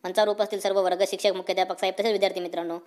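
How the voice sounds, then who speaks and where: A man's voice: high-pitched, flat delivery, rapid-fire, heavy background noise, close to an everyday voice. A man voicing a strict, short-tempered cartoon schoolteacher with a rural Marathi accent and a scolding manner. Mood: brisk, official. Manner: stern, scolding, threatening. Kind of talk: comic cartoon dialogue.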